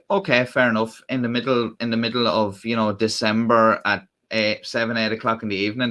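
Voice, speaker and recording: An Irish man chats loosely to his livestream viewers, wandering from point to point with plenty of ums and false starts. His voice is bright and forward, sitting at an ordinary middle pitch. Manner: rambling, many fillers and restarts. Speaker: livestream host. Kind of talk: casual live-stream chat.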